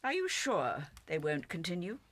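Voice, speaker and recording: A supportive older mother in a radio drama. She is soft-spoken and reassuring, with a lively rise and fall in her voice. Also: lowish voice, moderate pace, heavily acted. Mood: gentle concern. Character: gentle, supportive older English mother of grown astronomer.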